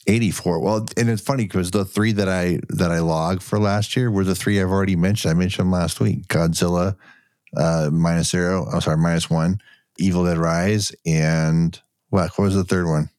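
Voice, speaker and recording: A man's voice: low voice, steady tone, normal speed, a little mellow, no echo, studio quality. A man co-hosting a casual podcast, congested from a lingering cough, loose and profane, laughing often. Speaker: podcast co-host. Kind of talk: casual chat.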